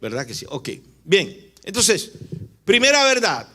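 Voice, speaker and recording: A man's voice: high voice, huge pitch swings, a little slow, sharp tone. A man from Barranquilla with a Colombian Caribbean accent, preaching a teaching sermon, emphatic, repeating his points and putting rhetorical questions to his listeners. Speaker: preacher, apostle.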